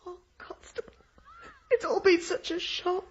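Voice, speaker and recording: A nervous schoolmistress in a radio play. Her very high voice trembles and falters with anxiety, captured in a slightly dull recording. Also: fairly even intonation, unhurried. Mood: distressed. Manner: faltering, tremulous. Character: shaken, anxious young woman schoolteacher. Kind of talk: radio drama acting.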